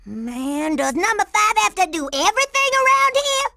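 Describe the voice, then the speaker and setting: A woman's voice: extremely high pitch, sing-song, normal speed, sharp tone, no echo, clean studio sound, full character voice. A woman voicing a sassy, tough, confident young girl secret agent in a cartoon video game, with streetwise slang. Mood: exasperated. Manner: streetwise slang, refers to herself in third person.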